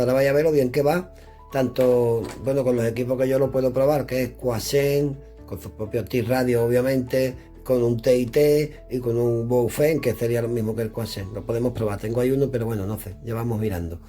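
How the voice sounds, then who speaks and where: Mellow-voiced man demoing radio gear. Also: medium pitch, fairly even intonation, brisk, faint music behind. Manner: chatty, thinking aloud while fiddling with devices. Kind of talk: casual product demonstration. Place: indoors.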